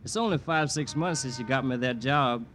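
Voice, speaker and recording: An actor playing a gentle young man, earnest, deferential and uneasy, in an old radio drama. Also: mid-range voice, normal speed, faint music behind, natural voice. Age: young adult. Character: polite, anxious young man, a gentle kid. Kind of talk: 1940s-50s radio drama acting. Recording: noisy old radio recording.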